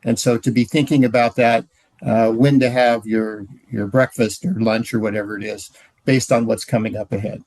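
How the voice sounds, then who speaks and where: A middle-aged man giving a relaxed training talk, telling stories with frequent "uh"s. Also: mid-range voice, normal speed, dry recording. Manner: relaxed storytelling, many "uh"s. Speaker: ministry trainer.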